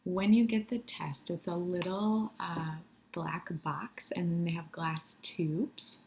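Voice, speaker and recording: A woman with an American Midwestern accent and a slightly husky voice, giving a casual product review to viewers. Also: lowish voice, steady tone, slow, darkish tone, a little hiss or hum. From Michigan, USA.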